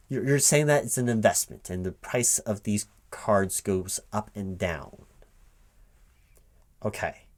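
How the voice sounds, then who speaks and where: Male, mid-pitched, for spoken ASMR roleplay as a recruiting manager; improvised, hesitant, confused questioning. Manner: halting, puzzled, repeating things back as questions. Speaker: performer playing a recruiting manager interviewing a job applicant.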